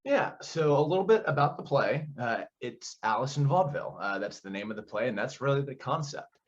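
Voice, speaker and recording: A man's voice: medium pitch, animated, a little fast, lo-fi sound. A man giving conversational, explanatory interview answers as a theater director, often posing questions as he goes.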